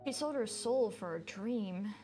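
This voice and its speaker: Woman streamer with a medium-pitched voice. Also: expressive, unhurried, dark tone, faint music behind. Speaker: game streamer. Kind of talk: reading game dialogue aloud with casual comments.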